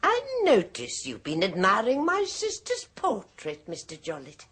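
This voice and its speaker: A woman's voice: medium pitch, huge pitch swings, a little slow, fairly bright tone, husky, heavily acted. An actress playing a timid, genteel woman, the meeker sister, in a radio play. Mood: fluttery. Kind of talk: 1970s radio drama acting.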